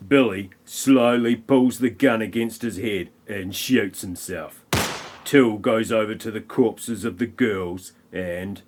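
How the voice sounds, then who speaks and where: Slow, wry man narrating a spoof. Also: lowish voice, a little mellow, slight background noise. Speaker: narrator of an amateur audio play. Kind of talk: scripted comic narration of a film spoof.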